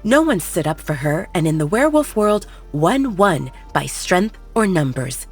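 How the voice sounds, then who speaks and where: A woman's voice: fairly low pitch, very animated, measured pace, breathy edge. A woman narrating a scripted romance audiobook in a dramatic storytelling style. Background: faint background music.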